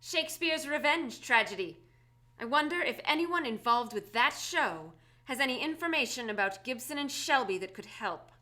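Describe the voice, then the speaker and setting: High bright brisk heroine played by a woman. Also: lively intonation. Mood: brisk, determined. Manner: brisk, commanding. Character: brisk, decisive socialite turned masked vigilante. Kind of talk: live radio theatre acting.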